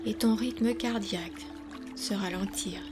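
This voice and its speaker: A woman leading a guided relaxation as a yoga nidra guide, speaking calmly and soothingly with long pauses between instructions. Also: medium pitch, over music.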